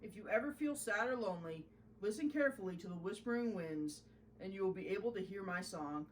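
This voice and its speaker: A woman's voice: mid-range voice, expressive, a little fast, far from the mic, slight background noise, close to an everyday voice, rough recording. A woman voicing a tender, motherly spirit of children in a storybook, speaking with solemn reassurance.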